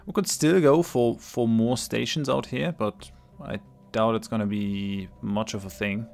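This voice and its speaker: A man's voice: medium pitch, steady tone, unhurried, creaky, darkish tone, soft background music. A man with a cold narrating his strategy game as a let's play host, thinking aloud with many ums and restarts and laughing readily. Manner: laughs readily between remarks. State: has a cold. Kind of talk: casual gameplay commentary.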